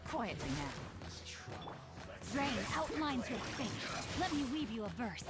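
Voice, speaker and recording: Confident young fantasy fighter, slow swooping battle callouts, music blaring behind. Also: medium pitch, huge pitch swings. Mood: poised, battle-ready. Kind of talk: video game battle callouts. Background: loud game music, typing.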